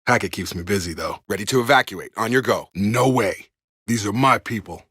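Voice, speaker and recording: A man's voice: lowish voice, a little fast, fairly bright tone, husky, dry recording, studio quality. An actor playing an earnest, loyal male soldier in a video game, speaking plainly and sincerely as someone who protects his people. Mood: sincere, reflective. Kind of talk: dramatic game dialogue voice lines. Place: dry studio recording.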